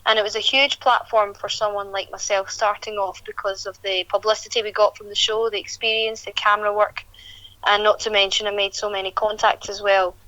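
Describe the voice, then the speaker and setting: Young woman gabbing on a dull phone line, bright voice, kinda flat. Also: mid-range voice, a little fast, dry recording. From Scotland. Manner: chatty, rambling with restarts. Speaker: guest, country singer. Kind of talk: interview.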